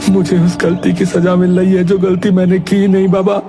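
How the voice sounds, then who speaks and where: A man doing a calm, earnest shopkeeper father. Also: sits high, moderate pace, close to an everyday voice. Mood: sorrowful. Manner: soothing, earnest. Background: background music.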